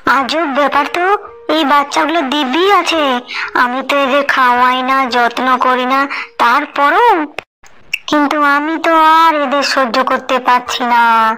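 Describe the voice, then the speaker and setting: A woman plays a cruel stepmother creature from a cartoon fairy tale. The voice is very high and sharp, with an unhurried, drawn-out delivery. The manner is whining, resentful and plotting. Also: slight background noise, rough recording. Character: scheming cruel stepmother creature of a water kingdom. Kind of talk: dramatized cartoon story acting.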